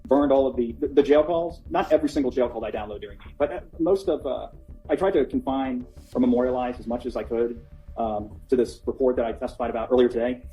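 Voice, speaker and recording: A man giving wordy, hurried courtroom testimony as a law enforcement witness. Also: medium pitch, warm tone, soft background music, low-quality recording.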